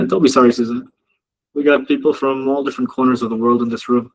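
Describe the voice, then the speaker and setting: Gravelly, husky man chatting on a live stream. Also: mid-range voice, steady tone, fast, low-quality recording. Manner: chatty, laughs often, jokes with the chat. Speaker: trading mentor hosting a live session. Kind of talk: casual live-stream commentary. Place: home office in Dubai.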